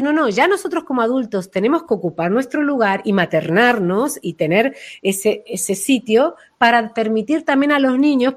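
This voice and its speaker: A woman explaining her own method as a voice practitioner in an expressive, conversational way, illustrating her points as she goes. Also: a little high. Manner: expressive, acts out names and quotes to illustrate points. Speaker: voice and name-energy practitioner being interviewed.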